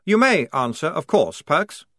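A man's voice: on the high side, big pitch swings, a little slow, a bit bright, dry recording, clean studio sound, pitched above the performer's own voice. A man voicing a fussy, earnest young army lieutenant who talks in a stiff, formal, speechmaking way. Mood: brusque. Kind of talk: audiobook character voice.

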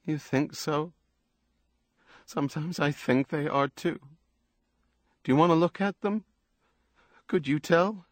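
A man's voice: on the high side, slow, long pauses, no echo, clean studio sound, voice pushed up. A man voicing a troubled, needy young woman in audiobook dialogue, with an earnest, pleading manner. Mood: hopeful, unsure. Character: troubled young woman, fragile, defiant, trusting, emotionally needy.

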